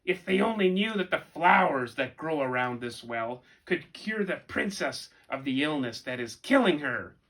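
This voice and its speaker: A man voicing three mocking ravens in a spoken folktale, cawing loudly and jeering at foolish humans. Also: expressive, moderate pace, few pauses, poor audio. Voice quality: loud shrill cawing, jeering.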